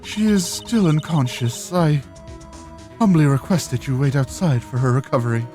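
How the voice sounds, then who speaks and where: A woman voicing a solemn female spirit medium in a video game, speaking slowly in formal, composed phrases. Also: low voice, warm tone, faint music behind, pitched below the performer's own voice, close to an everyday voice.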